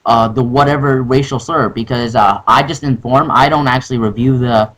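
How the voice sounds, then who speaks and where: A man's voice: mid-range voice, steady tone, moderate pace, fairly bright tone. A young man on a phone call, arguing in an official customer-support manner, insistent and protesting. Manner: insistent, protesting, repeatedly saying sir. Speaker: prank caller posing as game support. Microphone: telephone or call software.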